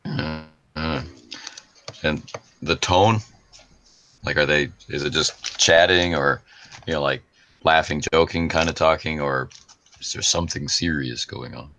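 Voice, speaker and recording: A man's voice: rumbling low, fairly even intonation, a little slow, pauses often, bright, forceful tone, a little hiss or hum. A man playing a tabletop game, with a dry, teasing way of talking. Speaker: player. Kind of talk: casual tabletop play.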